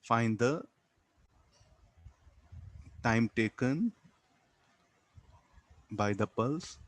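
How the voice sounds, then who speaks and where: A man's voice: medium pitch, lively intonation, no echo. Indian-accented man teaching, dry close sound. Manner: halting, repeats words, checks with "huh?" and "okay". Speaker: physics teacher. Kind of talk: classroom lesson, explaining a worked problem.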